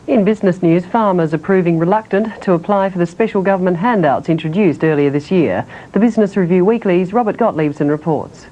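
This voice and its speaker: A woman presenting news in a crisp, even newsreader delivery. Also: on the low side, fairly quick, hardly any pauses, highs cut off. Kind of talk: news reading.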